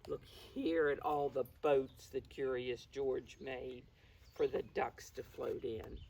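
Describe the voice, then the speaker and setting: A woman's voice: sits low, a little mellow. A mature woman reading a picture book to preschoolers as their teacher, explaining it simply and encouragingly as she speaks directly to them. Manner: encouraging, addresses young children directly. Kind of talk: children's read-aloud with simple explanations. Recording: distant mic with faint background noise.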